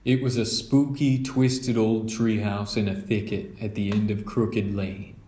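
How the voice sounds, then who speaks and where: A man's voice: on the low side, flat delivery, a little slow, dark tone, reverberant, slight background noise. A man narrating a children's storybook as a read-aloud.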